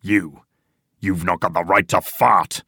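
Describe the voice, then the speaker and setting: A man's voice: sits low, fairly quick, bright, forceful tone, dry recording, clean studio sound. A man voicing a weary, short-tempered old section leader in a war novel, barking coarse orders. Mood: furious.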